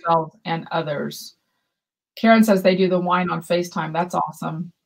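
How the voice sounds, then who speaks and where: Warm-voiced woman reading viewer comments. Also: on the low side, fairly even intonation, moderate pace, creaky. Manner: reads viewer comments aloud, chatty and reassuring. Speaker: yarn shop co-host. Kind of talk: casual livestream chat. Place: room with shelves of yarn behind. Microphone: webcam video call.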